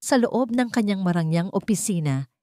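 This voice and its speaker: A woman narrating a scripted romance drama with deliberate, dramatic phrasing. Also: mid-range voice, lively intonation, warm tone, no echo, clean studio sound. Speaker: story narrator.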